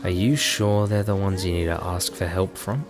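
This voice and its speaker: Man voicing a deep, gravelly, worried crocodile. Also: normal speed, faint music behind. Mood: hesitant. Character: worried, skeptical male crocodile, protective best friend. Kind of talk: fan audio drama acting.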